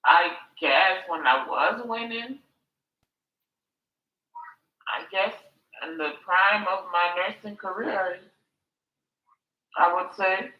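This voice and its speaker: A woman's voice: on the low side, takes it slowly, long pauses, highs cut off. A woman who works as a nurse, chatting casually on a group call and repeating her words as she thinks. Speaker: participant. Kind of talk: casual chat. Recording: echoey room.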